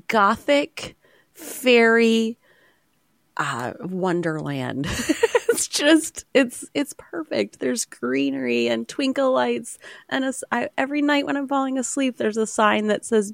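A woman's voice: fairly high pitch, lively intonation, slow pace, vocal fry, no echo, studio quality. A woman co-hosting a podcast in casual chat, sounding nasal from a cold and telling stories with frequent restarts. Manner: storytelling, restarts often. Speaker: podcast co-host, author.

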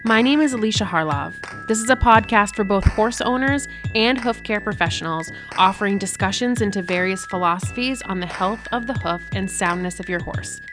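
A woman host opens and guides her podcast in a friendly, plainspoken way. Clear music plays under her voice throughout. Her pitch sits in an ordinary middle range. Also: normal speed, hardly any pauses. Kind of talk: scripted podcast intro and narration.

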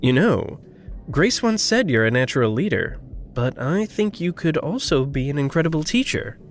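Low-voiced, very animated, encouraging woman. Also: normal speed, faint music behind. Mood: warm, encouraging. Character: warm, encouraging, gentle supportive woman. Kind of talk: naturalistic audio drama dialogue.

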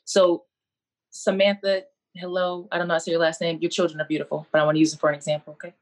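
A woman's voice: lowish voice, level tone, fast talker. Woman, college coach, video call, earnest, preacherly, repeats her points. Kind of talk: impassioned faith-based speech on a video call.

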